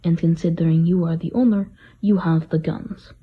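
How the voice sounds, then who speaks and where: Guy acting a stern old-school servant type, raspy, formal, dull muffled audio, radio play. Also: a little high, steady tone, moderate pace. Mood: accusing, grave. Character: stoic ex-military Russian butler, protective and grave man. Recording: muffled, dull microphone sound.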